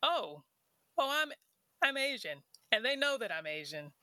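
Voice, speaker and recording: Bright-voiced reflective woman. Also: fairly high pitch, sing-song, a little slow, no echo. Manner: thoughtful, many fillers like "like". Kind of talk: reflective casual conversation.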